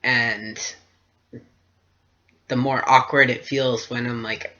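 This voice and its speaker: A man's voice: mid-range voice, level tone, slow pace, bright, forceful tone. A young man talking through a personal video diary in a hesitant, reflective way. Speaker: vlogger. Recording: dull lo-fi recording.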